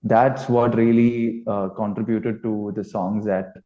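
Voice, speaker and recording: A man's voice: fairly low pitch, flat delivery, slow pace, warm tone. A man with an Indian accent, a musician giving a casual, reflective interview. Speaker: band musician being interviewed. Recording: dull lo-fi sound.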